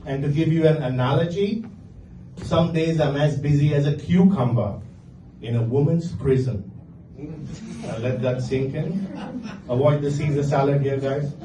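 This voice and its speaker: A middle-aged man from India with an Indian accent, performing a stand-up comedy set with a dry, deadpan delivery. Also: on the high side, a little slow, long pauses, background noise. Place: comedy venue with live audience. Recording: echoey room, distant mic, faint ambience.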